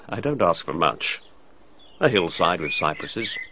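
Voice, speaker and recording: An aloof, dreamy British artist in a radio play. The voice is deep but moves expressively, cool and casual in delivery, on a slightly noisy, dulled recording. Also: male voice, very animated, slow pace, exaggerated voice. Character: self-absorbed, aloof male artist, dreamy and dryly amused. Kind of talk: radio drama acting. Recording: dull lo-fi radio recording with faint noise.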